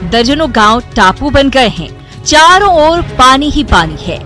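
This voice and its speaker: A woman reading a scripted news voice-over in a measured, formal way. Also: sits high, big pitch swings, sharp tone, soft background music. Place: studio voice-over.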